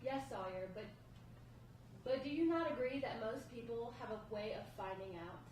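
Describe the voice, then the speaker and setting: Woman reading worried girlfriend type, hesitant, highish voice, super echoey room, far off with some noise. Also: moderate pace, a little mellow, lightly acted. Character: worried, devoted female partner of a hard-up man. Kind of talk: script table read. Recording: very echoey, distant, noisy room.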